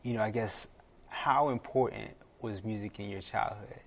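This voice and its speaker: A man's voice: fairly low pitch, moderate pace, gravelly, slight background noise. Easygoing male podcast host. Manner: relaxed, repeats "yeah" and "okay" often. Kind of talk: casual interview chat.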